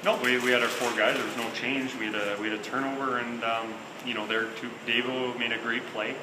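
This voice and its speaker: A man in his thirties, a hockey head coach answering postgame questions in a measured, hesitant way with many fillers and restarts. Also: medium pitch, level tone. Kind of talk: postgame press conference. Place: small interview room before a sponsor backdrop. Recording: echoey room with loud air-conditioning hum.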